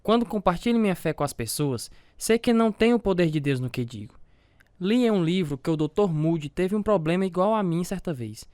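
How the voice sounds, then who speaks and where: A man's voice: high voice, animated, brisk, a little mellow, clear, resonant tone, no room sound, clean studio sound. A man acting as a devout, self-doubting family man, narrating and talking in an earnest, confessional way with a Brazilian accent in a religious radio drama. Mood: earnest, troubled.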